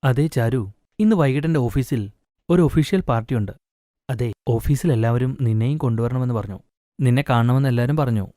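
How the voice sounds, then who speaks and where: A man's voice: medium pitch, a little fast, no room sound, studio quality, close to an everyday voice. A man voicing a kind young husband who coaxes and reassures his wife. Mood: casual. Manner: gentle, coaxing.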